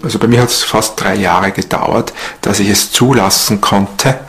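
A man's voice: lowish voice, fairly even intonation, unhurried, a bit bright. A man speaking as a meditation teacher. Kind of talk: guided meditation and interview talk. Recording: music behind the voice.

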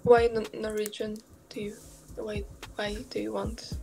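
A woman's voice: medium pitch, completely flat delivery, measured pace, vocal fry, a little mellow, soft background music, rough recording. A young woman with a Polish accent, hesitant and searching for words on a webcam video call from a room at home. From Poland. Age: young adult. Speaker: video chat stranger. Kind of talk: casual video chat. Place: room at home lit purple, indoors.

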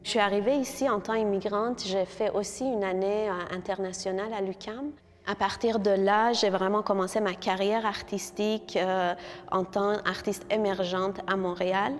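A woman's voice: mid-range voice, fairly even intonation, normal speed. Earnest woman in an echoing gallery. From Iran. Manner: earnest, hesitant with many filler sounds. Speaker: visual artist. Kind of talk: interview about her exhibition.

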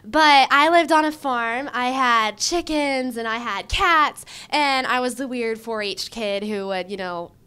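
Chatty young woman, smooth clear voice. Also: fairly high pitch, unhurried, few pauses. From Michigan. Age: young adult. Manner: chatty, animated, lots of likes and ums, gestures with her hands. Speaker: job candidate. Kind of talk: casual interview answers. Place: indoor room before a banner. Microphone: handheld mic held by the interviewer.

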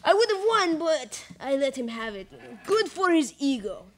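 A woman's voice: high-pitched, big pitch swings, normal speed. A woman playing a boastful, gloating male train robber in a live student radio play, with theatrical glee. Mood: smug boasting. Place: small studio, indoors. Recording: clearly noisy studio recording.

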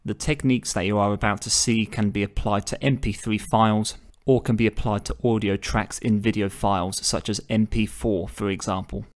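Low-voiced man narrating a software tutorial. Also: fairly even intonation. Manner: measured, instructional. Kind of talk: step-by-step screen tutorial narration.

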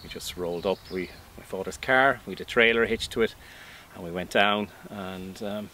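A man in his fifties from Ireland talks in a fairly low voice, plain and matter-of-fact as he runs through details. He stands in an open vegetable field. A faint outdoor hum with distant traffic sits under his voice. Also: moderate pace, sharp tone. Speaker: organic farmer. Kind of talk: outdoor interview. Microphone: camera mic, standing interview.

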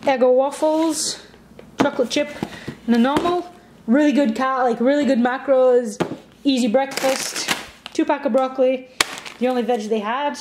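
Higher-voiced young woman vlogging casually. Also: normal speed. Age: young adult. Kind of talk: casual vlog chat. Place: home kitchen, indoors. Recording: echoey room, some background noise.

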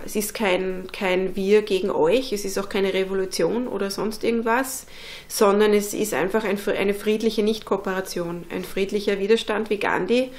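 A woman talks earnestly and thoughtfully, filling her pauses with small hesitations. Her voice sits at an ordinary middle pitch for a woman. She is recorded close up in an indoor workshop. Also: steady tone. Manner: earnest, thoughtful, many fillers. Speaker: interviewee, unschooling mother. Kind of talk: casual interview talk.

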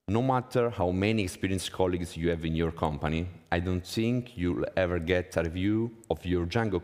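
A man with a low-pitched voice and an Italian accent delivers a prepared talk as a conference speaker. He speaks English as a second language in a measured way. The sound carries the long reverb of a large hall. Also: darkish tone. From Italy. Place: conference hall stage, indoors.

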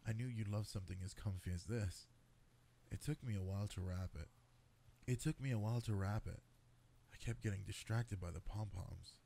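A man playing an affectionate cat-boy boyfriend in an intimate sleep-aid roleplay, speaking softly and coaxingly. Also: lowish voice, fairly quick, creaky, a little hiss or hum. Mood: doting, playful. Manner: soft, tender, coaxing, intimate. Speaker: roleplay voice actor.

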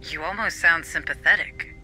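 An actor playing an earnest, level-headed administrator in a video game, businesslike and inquisitive. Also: male voice, a little high, moderate pace, bright, forceful tone, faint music behind. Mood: curious. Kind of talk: cinematic game acting.

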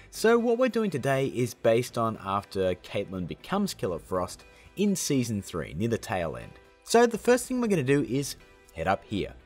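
Male how-to host, casual and upbeat, highly varied intonation, a touch of rasp. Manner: upbeat, chummy, addressing viewers as guys and gang. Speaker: tutorial host. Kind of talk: casual how-to tutorial narration.

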